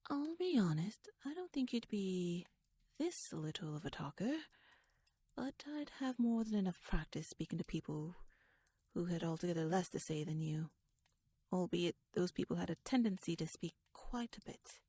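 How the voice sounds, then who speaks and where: A woman playing a shrewd, teasing royal noblewoman in an ASMR audio roleplay, speaking softly in a wry, courtly, measured way that slips toward a whisper. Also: lowish voice, expressive, husky, dry recording. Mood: teasing, poised.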